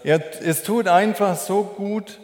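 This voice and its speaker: A man preaching a sermon as a preacher, in a measured, deliberate, explanatory way. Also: high voice, a little mellow. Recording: echoey hall with long reverb.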